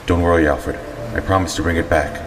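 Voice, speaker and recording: An actor playing a stern, terse, confident superhero in an audio drama. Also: male voice, low voice, a little fast, natural voice. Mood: calm, deductive. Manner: terse, deadpan, resolute. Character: stern, brooding male superhero, calm and confident. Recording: long reverb, music behind.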